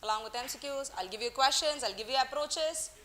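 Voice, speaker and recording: Woman, bright tense voice, playful teacher, classroom lecture. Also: sits high, brisk, a little hiss or hum. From Kerala, India. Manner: playful, teasing, coaxing students, mixes in Hindi words. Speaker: economics teacher.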